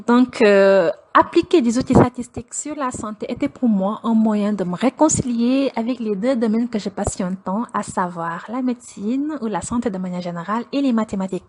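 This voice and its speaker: A young woman from Senegal, a doctoral student, telling the story of her studies in an interview in an earnest, storytelling way. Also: mid-range voice. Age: young adult. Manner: earnest, storytelling with light laughs. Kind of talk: personal account in an interview.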